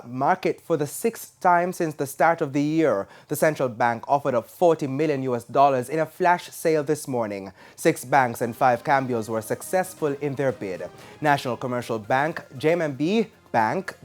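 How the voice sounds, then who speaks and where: A man anchoring a scripted business news bulletin in a formal broadcast style. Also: mid-range voice. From Jamaica.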